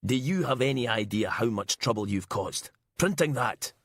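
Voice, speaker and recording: A man's voice: medium pitch, normal speed, slightly rough, dry recording, voice pushed up. Blustering middle-aged police inspector, played by a male narrator, hot-tempered and accusatory, for an audiobook crime story, recorded dry. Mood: angry. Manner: shouting, accusatory, sputtering. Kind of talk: audiobook character acting.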